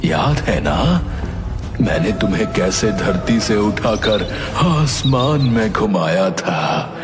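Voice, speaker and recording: A man voicing a lovesick, obsessed male ghost in a cartoon horror story, with a deliberate, menacing delivery. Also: fairly low pitch, sing-song, long reverb tail, over music. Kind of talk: Hindi animated horror story acting.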